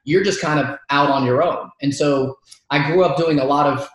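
A man's voice: a little high, moderate pace, a bit bright. A man in his thirties from Georgia, whose first language is Arabic, telling his story as a podcast guest with a chatty, laughing manner. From Georgia, United States. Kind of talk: casual storytelling interview. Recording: very echoey room.

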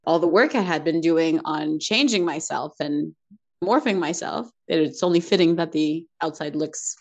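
Woman, low-pitched voice, reflective and candid, conversational guest talk, occasional laughs. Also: normal speed. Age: adult, mother of two daughters. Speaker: interview guest. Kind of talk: personal conversational storytelling.

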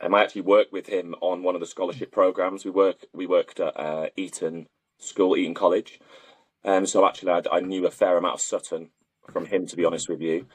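A man's voice: low-pitched, little pitch movement, fairly quick, husky, dry recording, poor audio. A man from North Wales talking casually and matter-of-factly as an interview guest, a sports coach. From Wrexham, North Wales. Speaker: guest, football strength and conditioning coach.

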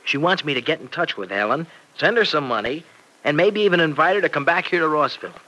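An actor playing an earnest, excitable young husband and devoted son-in-law in an old radio serial. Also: male voice, a little high, quick pace, rough recording. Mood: reflective, candid. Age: young adult. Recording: vintage radio recording.

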